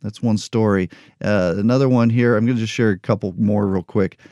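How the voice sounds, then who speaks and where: A man's voice: on the low side, steady tone, brisk, a little mellow, no room sound, muffled recording. A man in his forties hosting a motivational podcast, talking candidly and unscripted with a joking touch. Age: 40s. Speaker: podcast host. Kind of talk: solo motivational podcast monologue.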